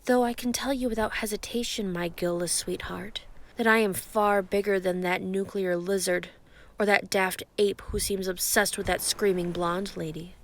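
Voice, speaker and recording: A woman playing an ancient, giant female sea creature, calm and grandiose, flirting possessively in an intimate roleplay monologue. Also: medium pitch, normal speed, natural voice. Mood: teasing adoration. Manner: calm, teasing, grandiose, formal wording.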